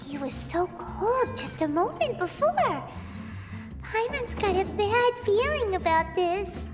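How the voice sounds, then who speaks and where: A woman voicing a tiny, childlike, excitable fairy sidekick in a video game, squeaky, chirpy and naive. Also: slow pace, heavily acted. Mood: worried, suspicious. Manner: chirpy, naive, refers to self in third person. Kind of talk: animated video game voice acting. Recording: music behind the voice. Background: background music.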